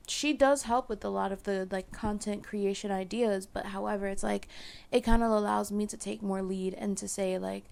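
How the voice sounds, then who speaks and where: A young woman with a hoarse, failing voice, talking casually to her viewers with lots of fillers and restarts. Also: medium pitch, a little mellow. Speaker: content creator. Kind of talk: casual chat to camera.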